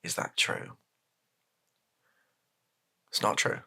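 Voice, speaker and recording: A man playing a flustered, placating political advisor in an improvised ASMR comedy roleplay, speaking softly in a hesitant, stammering, deadpan way. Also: drawn-out words, full character voice. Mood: flustered, resigned. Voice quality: soft-spoken, gravelly, breathy. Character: flustered, placating male political spin doctor advising his boss.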